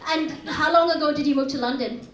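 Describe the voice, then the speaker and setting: Brash high-voiced woman doing crowd work. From India. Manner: teasing, brash, quick banter with audience. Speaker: comedy MC and host. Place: small comedy pub venue. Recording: roomy live pub gig. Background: laughing crowd.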